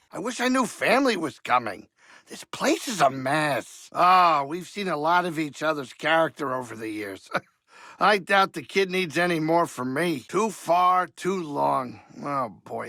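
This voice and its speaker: A man voicing a grumbling but warm-hearted older alien pilot and saloon keeper in a video game, with a gravelly, blustery, wisecracking delivery. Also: high voice, no room sound, studio quality, full character voice. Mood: guarded, earnest, then fond, wistful. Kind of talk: expressive video game character acting.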